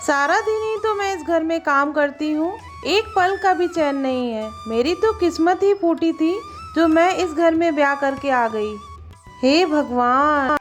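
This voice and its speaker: Very high-pitched woman as a complaining farmer's wife. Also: a little slow, soft background music. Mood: irritated, complaining. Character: complaining, work-shy farmer's wife in village tale. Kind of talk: acted cartoon storytelling.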